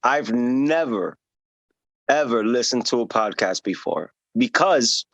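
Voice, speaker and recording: A man's voice: mid-range voice, takes it slowly, no room sound. Candid man in a dry recording. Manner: candid, humble, animated storytelling. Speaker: podcast guest, teacher and author. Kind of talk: casual interview chat.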